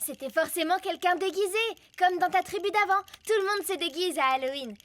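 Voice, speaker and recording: A woman's voice: very high-pitched, fairly quick, a bit bright. A woman voicing a confident, teasing little girl in a French cartoon, playful, sassy and a bit bossy. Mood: persuasive. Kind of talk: French animated cartoon dubbing.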